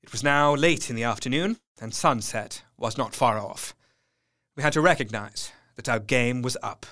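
A man narrating an audio drama in a tense, measured storytelling voice with hushed dips. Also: mid-range voice.

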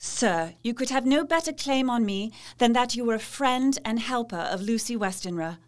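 A fairly high female voice, an actress as an earnest young Victorian wife in an audio drama. She is proper and anxious, confiding her thoughts like diary entries. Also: expressive, moderate pace, close to an everyday voice. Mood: courteous.

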